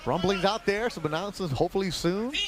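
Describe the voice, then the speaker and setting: Brisk male boxing play-by-play voice. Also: high-pitched, background noise. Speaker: play-by-play commentator. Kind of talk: boxing broadcast commentary.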